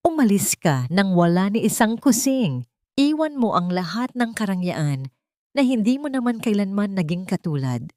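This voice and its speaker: A woman's voice: medium pitch, big pitch swings, moderate pace, a little mellow, no room sound, clean studio sound, close to an everyday voice. A woman voicing a cruel, rich old mother-in-law, speaking formally with imperious venom in an audiobook drama. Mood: venomous, triumphant.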